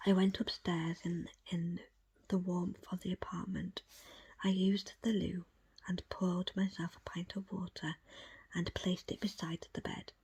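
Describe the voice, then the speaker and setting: Breathy whispering woman reading aloud. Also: lowish voice, flat delivery, rough recording. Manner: hushed, measured storytelling. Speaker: audiobook reader. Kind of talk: ASMR whispered book reading of a first-person account.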